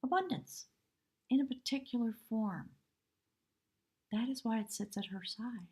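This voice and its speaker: Hoarse, husky woman reading tarot. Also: a little high, a little slow, darkish tone. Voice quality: husky, breathy. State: hoarse from much talking. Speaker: tarot reader, live stream host. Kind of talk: live tarot reading, intuitive spiritual commentary.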